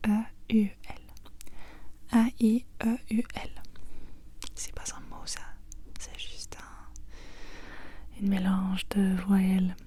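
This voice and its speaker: A woman whispering ASMR-style pronunciation practice in French, a language not her first, slowly repeating words with hesitation and doubt. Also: medium pitch, steady tone, warm tone, husky. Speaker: ASMR creator.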